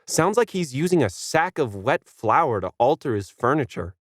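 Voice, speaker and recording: Storybook talking dog, female, bossy and fierce, growling orders in a raised pitch performed by a male narrator. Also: very animated, normal speed, no echo, clean studio sound. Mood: bossy, annoyed.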